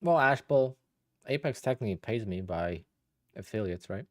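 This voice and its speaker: A man's voice: lowish voice, normal speed, no room sound, clean studio sound. A man in his thirties from Poland, a day trader chatting casually and rambling as he answers viewers on a live stream. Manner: relaxed, rambling, thinks aloud with restarts.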